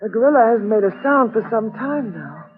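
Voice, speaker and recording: An actress playing an anxious, caring woman on a jungle expedition, soft and pleading in 1940s radio style. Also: a little high, lively intonation, fairly quick, lightly acted. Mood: worried. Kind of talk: 1940s radio drama acting. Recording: tinny old radio broadcast.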